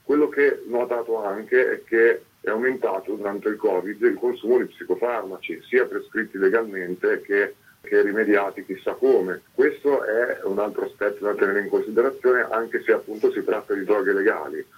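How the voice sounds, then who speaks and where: Low, husky man on a phone line. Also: moderate pace. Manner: discursive, analytical. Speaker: interviewed author. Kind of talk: informal explanatory radio interview. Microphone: phone call. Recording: muffled narrowband telephone line.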